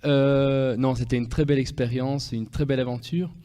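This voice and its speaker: A man with a Belgian French accent, speaking as an interview guest in an easy, chatty manner. His voice is mellow and dark at a middle pitch. The sound carries a long reverb. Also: little pitch movement, moderate pace. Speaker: guest, pianist and actor. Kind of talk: radio interview.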